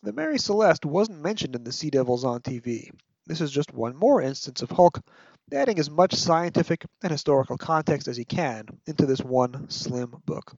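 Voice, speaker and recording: A man hosting a podcast with scripted narration, measured, articulate and wry, like a literary review. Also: on the high side, expressive, a little mellow, no echo, highs cut off. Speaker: podcast host.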